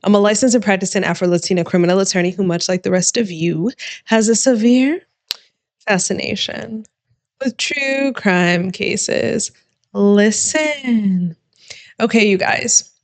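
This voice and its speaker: A woman criminal attorney hosting her channel, her voice going hoarse, talking emphatically and directly to viewers in casual commentary. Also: medium pitch, measured pace, no room sound. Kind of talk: casual reaction commentary to camera.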